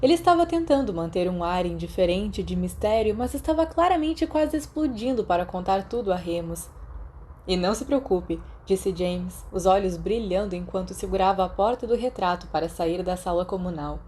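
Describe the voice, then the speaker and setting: A woman with a Brazilian accent narrates fiction in audiobook style. Her tone is mellow and dark, at a medium pitch. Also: expressive, a little fast, hardly any pauses, a little hiss or hum. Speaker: podfic narrator.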